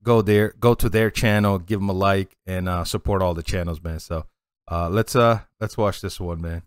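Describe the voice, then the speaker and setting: Boston guy in his 40s doing a reaction vid, chill, laughs a lot, kinda low voice, dry headset mic at home. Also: steady tone, normal speed, clean tone, no room sound. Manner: laid-back, laughs a lot, says "man" and "dude". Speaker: reaction channel host. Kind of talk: casual reaction commentary. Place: home streaming setup, indoors. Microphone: headset with boom mic.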